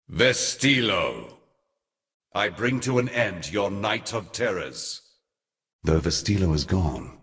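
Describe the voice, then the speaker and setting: A super deep old god from a fantasy game, grave and brooding, talks all ornate and solemn. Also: male voice. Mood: commanding, wrathful. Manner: solemn, ornate, deliberate diction. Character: ancient grave god, former lover of gods, brooding and eloquent. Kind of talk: theatrical fantasy game monologue.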